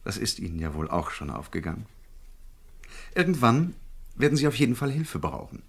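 An actor playing a jovial but calculating crime boss of about forty in a radio drama, polite and persuasive. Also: male voice, on the low side, big pitch swings, normal speed, husky, full character voice. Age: around 40.

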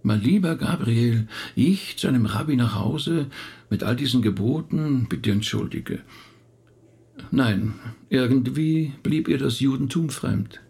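Husky, gravelly middle-aged rabbi. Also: male voice, mid-range voice, expressive, a little slow, mellow, exaggerated voice. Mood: candid, reminiscing. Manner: hesitant, reflective, talkative. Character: thoughtful male rabbi recounting his late relative's life. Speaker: actor. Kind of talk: naturalistic radio drama dialogue.

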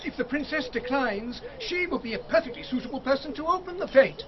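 An actor playing an obsequious, fussy husband in a radio drama, formal, deferential and pedantic. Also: male voice, very high voice, expressive, a little fast. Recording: dull recording with chatter behind.

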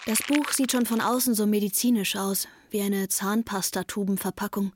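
An actress playing a sharp-witted teenage daughter who narrates a radio play with dry, sardonic asides. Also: female voice, medium pitch, steady tone, normal speed, background noise, lightly acted. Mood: wry, sardonic. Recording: music behind the voice.

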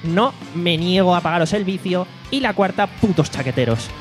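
A man's voice: high-pitched, animated, moderate pace, over music. A man hosting a casual podcast, reading out news and poll results in a playful, joking way. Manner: playful, joking, reads the segments. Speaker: podcast host. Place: studio away from home.

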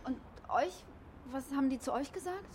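A woman's voice: high voice, expressive, moderate pace, darkish tone, slight background noise. Earnest young woman in radio drama. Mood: curious, probing. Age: young adult. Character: earnest, searching young woman seeking belonging. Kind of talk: naturalistic radio play acting.

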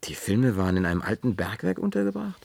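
A man's voice: fairly low pitch, very animated, quick pace, vocal fry, a little mellow, breathy edge, heavily acted. An actor in a German radio play playing a plain-spoken, skeptical, restrained man with a terse, wry, questioning manner. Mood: puzzled.

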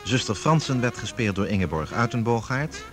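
A man's voice: medium pitch, lively intonation, moderate pace. A man reading scripted radio drama credits as an announcer. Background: music.

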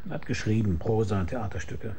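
Actor as a dry, sardonic private detective. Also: male voice, on the low side, animated, warm tone, slight background noise, lightly acted. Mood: smug explaining. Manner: dry, mocking, deliberate storytelling. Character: sardonic, shrewd private detective who tells the story himself. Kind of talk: German radio crime drama acting with first-person narration.

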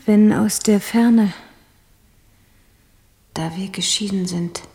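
An actress playing a sorrowful, intimate woman reciting verse of parting in a whispery, breathy voice in a radio play. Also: medium pitch, takes it slowly, mellow, soft background music.

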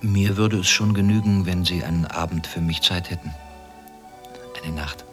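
A very low-voiced, cynical East German secret police officer, played by a man in a radio drama. He speaks deliberately, with sardonic menace and false modesty, over clear background music. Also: lightly acted. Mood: mocking, coercive. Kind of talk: German radio play acting.

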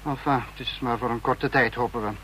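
An actor playing a cold, calculating male security chief in a vintage radio drama, speaking in a measured, commanding way. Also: medium pitch, steady tone, pushed and bright, a little hiss or hum, close to an everyday voice, rough recording. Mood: cold, brooding. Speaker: spymaster in a radio play.

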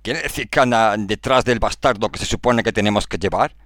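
A man playing a gruff, irritable freighter captain in a radio drama, brusque, coarse and contemptuous. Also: lowish voice, a little fast, fairly bright tone, dry recording, clean studio sound, pitched above the performer's own voice. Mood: irritated contempt. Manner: brusque, scornful.

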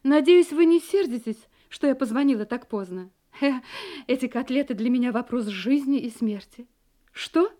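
A woman's voice: high-pitched, lively intonation, normal speed, warm tone. An actress in a Russian audio drama playing an anxious wife who hides her terror behind sweet domestic composure. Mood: urgent, controlled.

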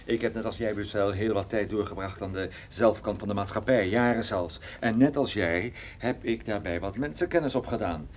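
A man acting as a wry, flirtatious private detective in a radio drama, conversational with small hesitant pauses. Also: on the low side, a little hiss or hum, close to an everyday voice. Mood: wry, assured.

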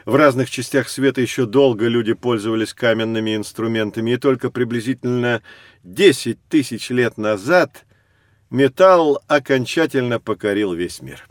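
An actor playing a patient, kindly guide who tells a children's educational story in a measured, expressive way. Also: male voice, mid-range voice, no room sound, studio quality, natural voice. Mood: patient, reflective. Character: wise adult male guide, Vladimir Sergeyevich, patient and kindly storyteller. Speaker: narrator and guide. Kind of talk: children's educational audio drama narration.

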